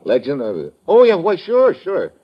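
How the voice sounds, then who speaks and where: An actor playing a tough, quick-thinking adventurer in an old radio drama, brusque and wry in manner. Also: male voice, a little high, wildly swooping pitch. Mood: bluffing, insistent. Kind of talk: 1950s American radio adventure acting. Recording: muffled old radio broadcast.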